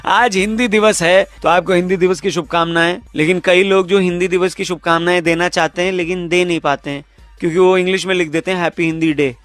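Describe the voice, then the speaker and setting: A man with a very high voice for a male presents comedy as a radio host. His delivery is scripted and comic, recorded dry with music underneath. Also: fairly even intonation, a little fast, fairly bright tone, smooth, no echo.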